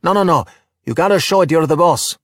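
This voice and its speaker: A man's voice: mid-range voice, a little fast, no echo, clean studio sound, close to an everyday voice. A man voicing a cocky, boastful boy, breezy and overconfident, in a children's audiobook. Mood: bossy. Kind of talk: audiobook character voice in a children's story.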